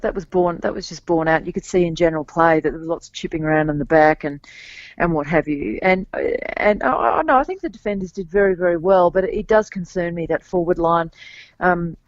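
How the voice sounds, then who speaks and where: A woman co-hosting a sports podcast, talking casually and a bit hoarse from cheering, with many restarts and fillers. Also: on the low side, fairly quick, no room sound. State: slightly scratchy voice from cheering. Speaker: podcast co-host. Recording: dull, dry lo-fi podcast mic.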